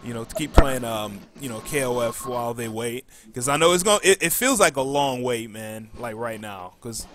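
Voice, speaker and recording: Halting male podcast host, bright voice. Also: mid-range voice, expressive, slight background noise. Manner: halting, frequent pauses mid-sentence. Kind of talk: casual podcast hosting with announcements.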